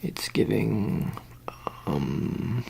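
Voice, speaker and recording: A softly whispering ASMR performer of unclear sex rambles haltingly and casually in a roleplay as a laid-back handler, slipping between breathy whisper and low murmur. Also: animated, a little hiss or hum. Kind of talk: ASMR roleplay, soft improvised monologue.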